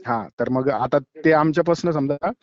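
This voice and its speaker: A man's voice: fairly high pitch, normal speed. A man with a Marathi accent giving an eyewitness account over the phone in a hesitant, conversational way. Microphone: phone call. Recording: dull lo-fi phone call.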